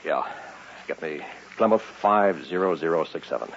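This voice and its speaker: A man's voice: very deep voice, expressive, normal speed, a little hiss or hum. An actor in an old-time radio drama playing a troubled, curt man who fears he is being hunted. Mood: agitated. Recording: muffled vintage radio recording, music behind.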